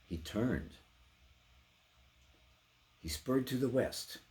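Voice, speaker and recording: A man giving a theatrical, intense dramatic recitation of poetry, swinging between hushed delivery and full-throated cries. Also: mid-range voice, very animated, drawn-out words. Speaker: poetry performer.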